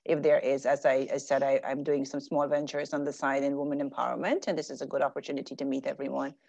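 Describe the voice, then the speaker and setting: A woman's voice: very deep voice, level tone, fast talker, vocal fry, darkish tone, dry recording. A woman in corporate leadership introducing herself in an online meeting in a friendly, earnest way. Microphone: video call. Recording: dry muffled call audio.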